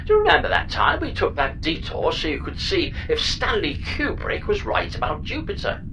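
A woman's voice: low voice, sing-song, moderate pace, noisy background. A woman playing a chatty, flippant and reckless spaceship pod computer in a comedy audio drama, talking in a breezy, mock-grand theatrical way. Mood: breezy, wistful.

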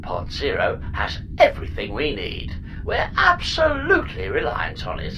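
A woman's voice: extremely low pitch, wildly swooping pitch, moderate pace, background noise, heavily acted. Woman voicing a swooping, theatrical robot space pod. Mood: melodramatic. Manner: whimsical, flippant, hammy relish. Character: chirpy, theatrical, scatterbrained talking space pod computer. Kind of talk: scripted audio sci-fi comedy acting.

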